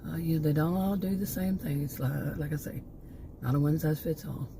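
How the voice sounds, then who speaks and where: A woman in her sixties, slightly hoarse from losing her voice, rambling through a monologue as host of her own channel and often stopping to find her thread again. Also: sits low, moderate pace, background noise. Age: 60s.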